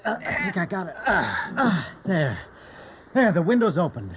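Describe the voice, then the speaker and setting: An actor playing an eager, dutiful grown son in a children's audio Bible drama, earnest and a little hesitant. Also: male voice, sits high, huge pitch swings, measured pace, fairly bright tone, exaggerated voice.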